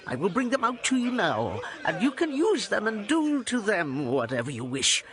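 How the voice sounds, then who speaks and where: An old farmer in a biblical audio drama, hospitable and anxious. His somewhat high voice swoops widely up and down, with crowd noise and insects around him. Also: wildly swooping pitch, normal speed. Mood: pleading. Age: older. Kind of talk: dramatized scripture audio acting.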